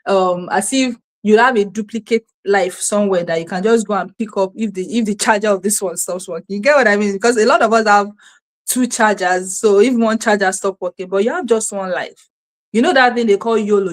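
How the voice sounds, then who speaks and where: A woman's voice: medium pitch, poor audio. Woman, Nigerian accent, native Yoruba speaker, livestream host, motivational talk, rhetorical questions. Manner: repeats key words, asks the audience rhetorical questions. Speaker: livestream host and self-help coach. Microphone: streaming setup, talking to camera.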